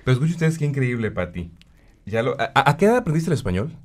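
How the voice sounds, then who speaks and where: A man from Tijuana, hosting a morning radio interview in an animated way, often restarting his words. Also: mid-range voice, fast talker. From Tijuana, Mexico. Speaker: radio host.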